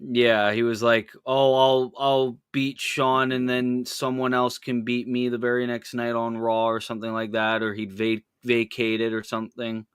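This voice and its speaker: Clear-voiced young man from Canada. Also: mid-range voice, level tone, moderate pace, few pauses, dry recording, studio quality. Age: early 20s. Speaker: podcast guest. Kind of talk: casual chat.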